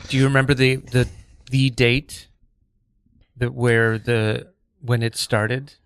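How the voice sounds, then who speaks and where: A man's voice: mid-range voice, flat delivery, slow, clear, resonant tone, dry recording. A man with a clean, resonant voice serves as guest co-host. He chats casually in short questions and remarks. The recording is very dry.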